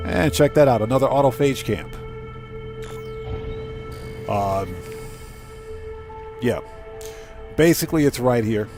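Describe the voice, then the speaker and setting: A man's voice: mid-range voice, lively intonation, takes it slowly, pauses often, over music. A man giving casual, off-the-cuff commentary as he live streams a video game.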